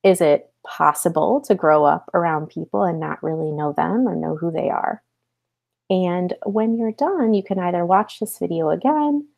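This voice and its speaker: American woman teacher with a creaky voice. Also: lowish voice, moderate pace, a little mellow. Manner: explanatory, with rhetorical check-in questions. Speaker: elementary school teacher. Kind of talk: read-aloud lesson with explanations to pupils. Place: small home office, indoors.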